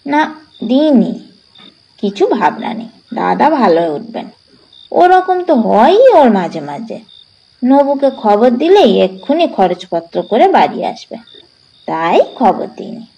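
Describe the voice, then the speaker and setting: A woman reads the part of an elderly village man in a storybook tale. The voice is high for the part and swings freely in pitch, with a soft, mellow tone. He talks in a homely, colloquial way and waves worries aside. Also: sing-song, takes it slowly, vocal fry, long pauses, full character voice, low-quality recording. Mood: dismissive, reassuring. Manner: colloquial, dismissive of worry. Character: casual, reassuring elderly village man, the patient's brother.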